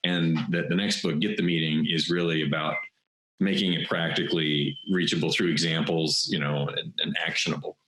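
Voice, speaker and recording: Deep-voiced male host in an echoey room. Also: fairly even intonation, moderate pace, sharp tone, a little hiss or hum. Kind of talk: casual interview talk.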